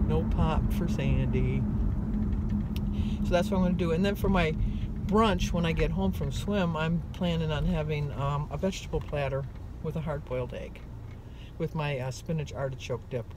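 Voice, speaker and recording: Chatty older woman in a noisy car. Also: on the low side. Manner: chatty, rambling, lots of ums and self-corrections. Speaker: vlogger. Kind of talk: casual chat. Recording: loud car noise. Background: loud road and engine noise.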